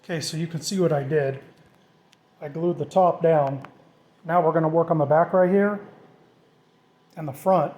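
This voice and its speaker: Male DIY vlogger, middle-aged, slightly high pitch, very mellow tone, casual and self-deprecating tutorial talk. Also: moderate pace, long pauses. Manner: informal, self-deprecating, thinking aloud. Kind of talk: casual hands-on tutorial narration.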